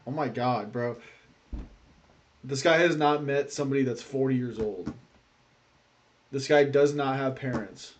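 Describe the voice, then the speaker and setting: A man's voice: medium pitch, a little slow, pauses often, a little hiss or hum. A man hosting a reaction video, giving blunt, joking commentary in casual slang.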